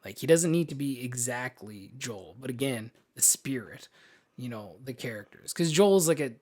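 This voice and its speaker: A man's voice: medium pitch, lively intonation, normal speed, darkish tone. Casual man chatting on a podcast. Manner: informal, slangy, mild swearing. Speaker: podcast host. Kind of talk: casual film podcast chat.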